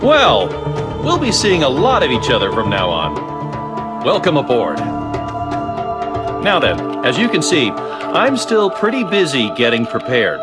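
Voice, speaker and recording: An eccentric scientist for a game cutscene. He is gleeful and precise, with a hugely animated, theatrical melody to his speech. Music plays behind him. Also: mid-range voice, a little slow, pauses often. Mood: cheerful, unhurried. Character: eccentric, cheerful male scientist, giddy about data. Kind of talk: video game cutscene voice acting.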